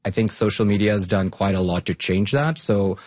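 A man from Bosnia-Herzegovina with a fairly low voice, speaking as an academic guest in an interview. He talks informally and conversationally, softening his points as he goes. The sound is very dry, with no room around it. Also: a little fast, dry recording. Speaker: academic guest, longtime fan of the topic.

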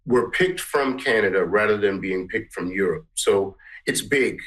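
A man's voice: on the low side, measured pace, a bit bright. Earnest, rambling middle-aged man. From Guyana. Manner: earnest, rambling, many restarts. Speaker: musician and composer. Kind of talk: interview answers.

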